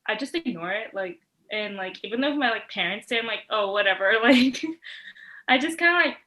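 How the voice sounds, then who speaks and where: A woman's voice: on the high side, expressive, brisk, sharp tone, poor audio. A teenage girl co-hosting a podcast, talking in a breezy way and laughing mid-sentence. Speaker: podcast co-host. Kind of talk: casual chat.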